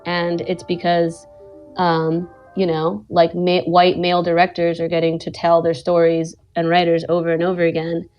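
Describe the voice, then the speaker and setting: A woman's voice: fairly low pitch, completely flat delivery, moderate pace, muffled recording, soft background music. A woman from Venezuela, a guest animator and director, talking casually and rambling with lots of "like" and "you know". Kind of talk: casual chat in an online audio space.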